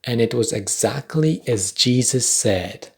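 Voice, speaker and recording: A young man whose first language is Polish, hosting a podcast with a wry, self-deprecating, deliberately paced scripted monologue. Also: mid-range voice, lively intonation, gravelly, slightly rough. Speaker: podcast host.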